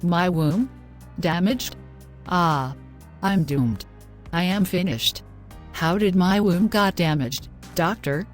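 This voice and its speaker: A woman's voice: fairly low pitch, slow, long pauses, soft background music. Woman acting a distraught, guilt-ridden young woman. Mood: shocked, despairing. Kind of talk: scripted moral-story drama.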